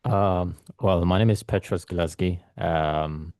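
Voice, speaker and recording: Brief: man in his thirties, very low and gravelly, flat delivery, rambling guest with many ums, dry sound. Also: measured pace, no echo. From born in Ethiopia, raised in London. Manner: rambling, many ums and restarts, soft laughs. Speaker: guest photographer. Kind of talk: casual interview chat. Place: remote call from London.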